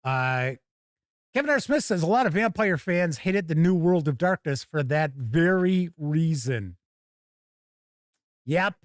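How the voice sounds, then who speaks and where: A man's voice: fairly high pitch, lively intonation, measured pace, pauses often, no echo, clean studio sound. A man hosting a tabletop gaming show in a casual live-stream style, candidly giving opinions and rambling through anecdotes.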